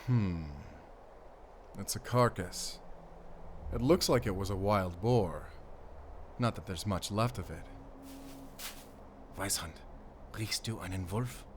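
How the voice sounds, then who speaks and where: An actor playing a stern, secretive werewolf hunter with a German accent, giving terse, grave orders in a cinematic audio drama. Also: male voice, on the low side, slow, pauses often, a little hiss or hum. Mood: grave, hushed, wary.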